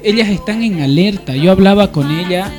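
A man's voice: high voice, normal speed. A man co-hosts live radio in a conversational presenting style. He sounds like he is introducing a guest on air, with light music in the background. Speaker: radio co-host. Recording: faint music under the voice. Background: faint music.

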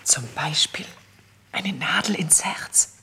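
An actress playing the naive, eager youngest of a group of genteel ladies in a radio play, with a husky, breathy voice. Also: female voice, low-pitched, takes it slowly, bright, forceful tone, in a whisper, heavily acted. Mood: dreamily morbid. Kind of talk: German radio play acting.

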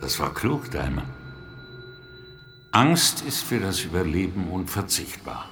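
Older patriarch, radio drama, deep, highly expressive, genial, patronising, probing. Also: male voice, a little slow, loud music behind the voice, exaggerated voice.

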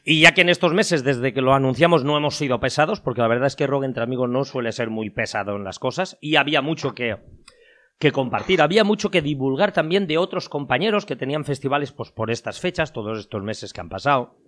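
A man with an accent from Spain hosting a podcast, introducing a round-table chat in an informal, halting way that repeats phrases. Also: medium pitch, expressive, a bit bright. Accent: Spain Spanish. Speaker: podcast host.

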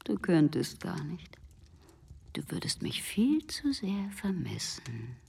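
A watchful shopkeeper's wife in a radio drama. Her voice sits fairly low yet moves through a wide melodic range, measured and controlled as she questions her husband. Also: big pitch swings, creaky, darkish tone, slightly rough, distant mic, exaggerated voice. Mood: cool, coaxing. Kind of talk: German radio drama acting.

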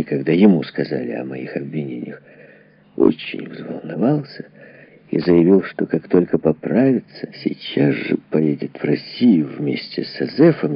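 A man narrating a historical memoir as an audiobook in a dramatic, deliberate way, with a husky, gravelly voice and telling pauses. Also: low-pitched, no room sound. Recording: dull, lo-fi, dry audio.

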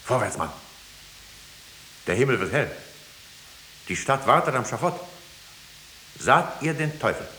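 An actor playing a stern, solemn judge who presses insistently for a confession, in 1950s German radio drama style. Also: male voice, mid-range voice, big pitch swings, measured pace, pauses often, bright, forceful tone. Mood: commanding. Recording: hissy, echoey 1950s radio recording.

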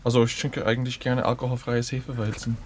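An actor playing a polite, easygoing newcomer singer, speaking a little hesitantly in naturalistic radio drama. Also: male voice, medium pitch, level tone, fast talker, slight background noise, lightly acted. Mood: friendly.

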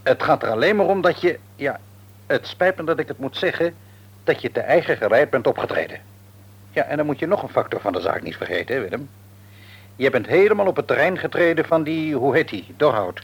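An actor in a radio drama playing an older father who reasons frankly and gently reproves his grown son. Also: medium pitch, lively intonation, brisk, creaky, husky. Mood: reasoning. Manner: reasoning, hesitant at times, frank.

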